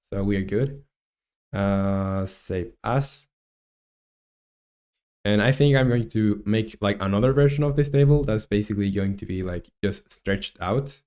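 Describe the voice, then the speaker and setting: Chilean guy in his 20s streaming game dev, kinda low voice, lots of uh and um. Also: mellow. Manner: rambling, many fillers and restarts, reads chat aloud. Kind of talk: casual livestream chat while working.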